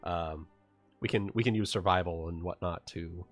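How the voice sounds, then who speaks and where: A man's voice: deep voice, normal speed, faint music behind. A man chatting casually as a player in a tabletop roleplaying game.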